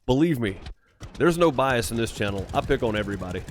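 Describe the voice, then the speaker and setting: A man's voice: mid-range voice, expressive, fast talker, a little hiss or hum. A man from Mississippi with a Mississippi accent, chatting casually and teasingly with live viewers as a streamer, laughing often. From Mississippi, United States.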